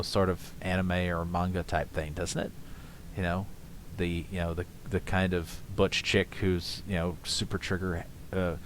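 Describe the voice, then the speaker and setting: Commentary host, fairly low-pitched man, sardonic and mocking. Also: fairly bright tone. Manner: sardonic, mocking, laughs often; trails off with fillers. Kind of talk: reaction commentary.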